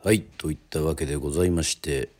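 芝居がかったラジオドラマの語り口で、あらたまった口上のように話す男性のナレーター。. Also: male voice, extremely low pitch, crowd noise. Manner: mock-formal, drawn-out announcer phrasing. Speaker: narrator, storyteller. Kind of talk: theatrical radio drama narration.

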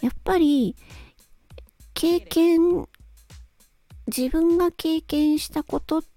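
A woman hosting a solo talk, speaking casually and reflectively to listeners in a polite, gentle, hesitant way as she thinks aloud. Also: very high-pitched, very slow. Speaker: solo host, amateur voice actress. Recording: close dry recording with background music. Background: background music.

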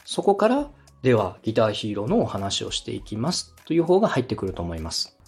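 An animated, slightly husky man hosts a coaching segment on a podcast. He explains things politely and in clear steps, acting out examples, with faint music underneath. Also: on the low side, fast talker. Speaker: radio director and podcast host giving feedback. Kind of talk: structured coaching talk on a podcast.

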